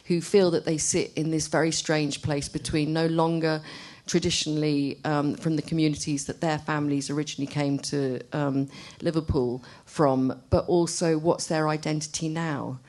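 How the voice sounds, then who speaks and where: A woman artist speaking haltingly and off the cuff at a public discussion, often repeating words. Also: deep voice, little pitch movement, a little mellow. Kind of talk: spontaneous remarks at a public discussion. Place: arts centre event room. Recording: live event recording.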